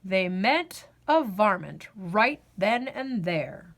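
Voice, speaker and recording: A woman reading a children's storybook aloud as a storyteller, in a deliberate, dramatic, expressive way. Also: mid-range voice, gravelly. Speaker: storyteller, host of a read-aloud show.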